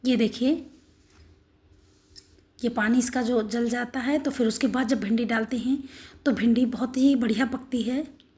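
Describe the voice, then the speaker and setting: A middle-aged woman with a hoarse, sore throat, walking through a home recipe step by step in a homely, instructive way. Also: a little high, measured pace, pauses often, a little mellow. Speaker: cooking channel host. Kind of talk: home cooking demonstration.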